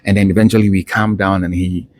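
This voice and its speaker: A man's voice: deep voice, flat delivery, quick pace, darkish tone, no room sound, clean studio sound. A man chatting casually as an unscripted interviewer, a fellow student who talks in anecdotes and acts out little quoted asides. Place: indoors at a university building.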